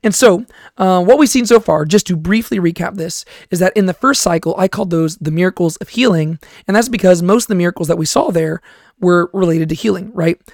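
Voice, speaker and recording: A tired, hoarse man hosting a Bible study podcast, speaking softly into a close microphone and often asking "right?". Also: high voice, brisk, no room sound. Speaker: podcast host and Bible teacher. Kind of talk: casual teaching talk.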